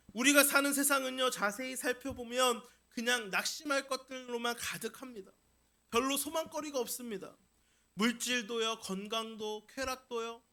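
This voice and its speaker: A male pastor delivers a sermon in a notably high, bright voice. His tone is forceful and emphatic. He repeats key phrases and puts rhetorical questions to his listeners. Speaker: pastor preaching. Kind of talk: Sunday sermon to a congregation.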